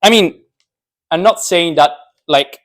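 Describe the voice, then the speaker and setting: A man's voice: a little high, slow, fairly bright tone. Joking young man giving an informal science talk. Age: young adult. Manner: joking, self-deprecating. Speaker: speaker, physics PhD student.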